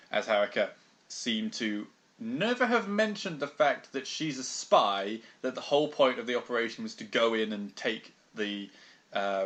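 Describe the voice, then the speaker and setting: A man's voice: lowish voice, a bit bright. A British man co-hosting a podcast, talking in a dry, wry and rambling way with lots of fillers. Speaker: podcast co-host. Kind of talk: casual anime discussion.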